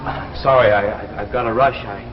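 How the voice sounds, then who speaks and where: An actor playing an anxious, guilt-ridden husband in 1950s radio drama style, halting and repeating himself. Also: male voice, fairly low pitch, fairly even intonation, close to an everyday voice. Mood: flustered, nervous. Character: anxious husband, guilt-ridden man sent on a secret mission. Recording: muffled vintage broadcast recording with music.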